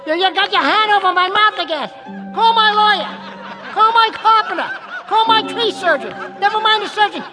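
A man's voice: very high-pitched, normal speed, over music. A man voicing a small, cocky, wisecracking ventriloquist's dummy with brassy, taunting patter in a radio drama. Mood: mock panic.

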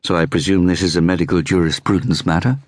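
A man voicing a polite young pathology professor who speaks in a courteous, formal, measured way in an audiobook. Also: low voice, fairly even intonation, no echo, clean studio sound. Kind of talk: audiobook character voice.

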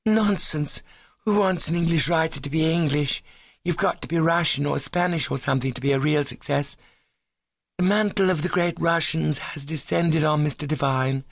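A man's voice: a little high, moderate pace, gravelly, no room sound, pitched above the performer's own voice. A man voicing an ambitious, haughty young woman with an earnest, prim, scornful manner in a comic story reading. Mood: lofty, scornful.

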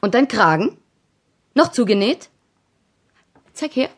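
A woman's voice: fairly high pitch, slow, husky, heavily acted. A woman playing a strict but fond, moralizing aunt in a German children's audio drama. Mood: suspicious, probing. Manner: scolding, moralizing, expressive.

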